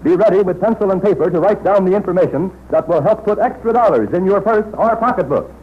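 Male vintage radio announcer-narrator, theatrical news style, raised pitch, narrow old broadcast sound. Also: animated, fast, slight background noise. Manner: declamatory, dramatic. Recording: muffled 1930s radio broadcast recording.

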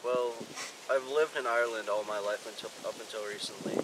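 A young man acting out amateur film dialogue in a halting, casual way, recorded outdoors on a camera mic. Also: fairly low pitch, very noisy. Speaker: amateur actor in film outtakes. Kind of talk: rehearsing scripted dialogue, casual. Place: outdoors in woods.

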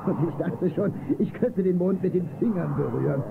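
A man's voice: high-pitched, moderate pace, creaky. A man playing a nervous, boastful little deputy sidekick with a stammering, whiny comic manner in a German children's audio drama. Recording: tinny, noisy old cassette recording.

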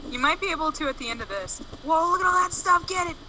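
A woman's voice: extremely high pitch, lively intonation, fast, pushed and bright, a little hiss or hum, low-quality recording. A woman co-commentating a let's play, teasing and sarcastically backseat-gaming the player. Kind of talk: casual gameplay commentary.

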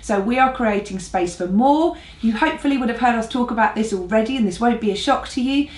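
Higher-voiced English woman, echoey room. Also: fairly quick, a little mellow. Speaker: senior pastor presenting a church newsletter. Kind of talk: friendly announcements to camera. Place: small living room, on a sofa. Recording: roomy, echoey living room.

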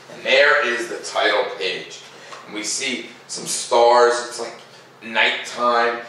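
A middle-aged man hosting a children's read-aloud, mixing expressive picture-book reading with informal, casual asides. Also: on the low side. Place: living room by a fireplace, indoors. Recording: echoey room, distant mic, faint noise.

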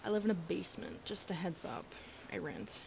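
A woman's voice: fairly low pitch, very animated, a little fast, vocal fry, a little mellow, a little hiss or hum, heavily acted, poor audio. A woman playing a brash, friendly young goth college girl with a casual, teasing manner in an ASMR roleplay. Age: young adult. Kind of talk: ASMR roleplay audio drama.

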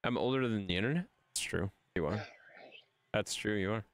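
A man's voice: fairly low pitch, a little fast, no room sound. A man in his thirties streaming a racing game from a home setup, commentating in self-mocking bursts and yelping at his crashes. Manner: excitable, swoops up into yelps at crashes; self-mocking, addresses chat as guys. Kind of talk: live gameplay commentary. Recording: game music under voice. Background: game music.

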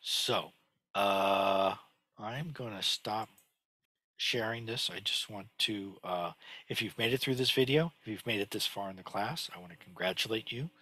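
An older man with a low, husky voice talks through a lesson, pausing often on little fillers and restarting his sentences. His tone stays bright and forward, and the sound is close and dry. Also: dry recording. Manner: explanatory, halting, many fillers and restarts. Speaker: teacher. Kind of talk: screen-share lesson on Chinese characters. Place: home study with bookshelves. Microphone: headset.

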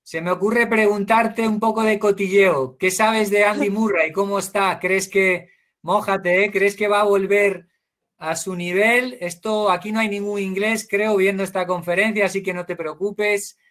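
A man moderating a video call panel, lively and joking as he asks questions. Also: sits high, normal speed.

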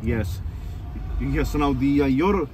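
A man from Kenya talks through a topic in a relaxed chat, circling back with plenty of fillers. He is recorded inside a moving car, and road noise runs steadily under his voice. His pitch is mid-range for a man. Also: takes it slowly, far from the mic. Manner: explains at length with many fillers. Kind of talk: casual conversation.

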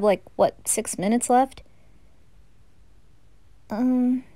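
A woman's voice: on the high side, mellow, no echo. A woman voicing a shy, earnest teenage singer boy with a hesitant manner in a hobby anime audio drama. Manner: hesitant, halting.

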